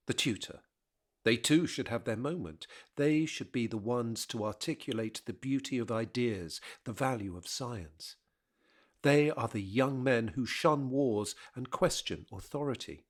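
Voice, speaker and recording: A man narrating a scripted period drama in a measured, rhetorical manner. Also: medium pitch.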